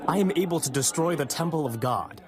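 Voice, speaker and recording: A man's voice: on the high side, lively intonation, fairly quick, close to an everyday voice. An actor playing a false male witness, speaking in an accusing tone, in a dramatized scripture reading with music behind.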